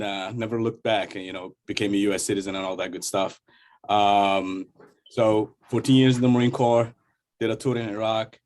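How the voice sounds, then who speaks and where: A man speaks on a video call. He introduces himself informally, in short halting phrases. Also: lowish voice. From Somalia. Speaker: workshop participant, cybersecurity entrepreneur.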